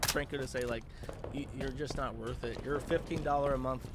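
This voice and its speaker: A man streaming casual, rambling commentary in a halting, sarcastic way with some swearing. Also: mid-range voice, steady tone, background noise. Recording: loud game music and gunfire behind. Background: video game music, gunfire and vehicles.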